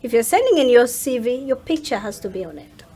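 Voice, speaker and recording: Interview guest: woman, high-pitched, Ugandan accent, emphatic and outspoken conversational delivery. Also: big pitch swings, fairly quick, mellow, slightly rough. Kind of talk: animated casual interview talk.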